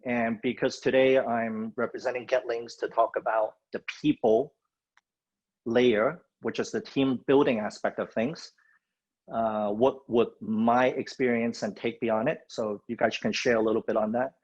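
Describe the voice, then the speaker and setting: A man's voice: medium pitch, steady tone, moderate pace, darkish tone. A man from Hong Kong giving a guest talk on a video call, speaking loosely and conversationally with many fillers. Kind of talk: webinar presentation. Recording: dull lo-fi video call audio.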